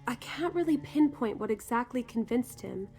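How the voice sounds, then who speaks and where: A woman's voice: on the high side, lively intonation, normal speed, dark tone, faint music behind. A woman playing an earnest, eager young female fighter in a video game, talking in a conversational way. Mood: modest, thoughtful. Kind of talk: scripted video game character dialogue.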